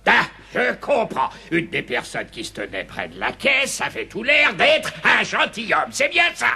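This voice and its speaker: Un chef de police colérique, voix grinçante. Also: male voice, sits high, sing-song, a little slow, vocal fry, pushed and bright, slightly rough, faint music behind, exaggerated voice. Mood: inquisitive, pompous. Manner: theatrical, exclamatory, blustering. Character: irascible police chief questioning and scolding his men. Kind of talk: French cartoon dubbing.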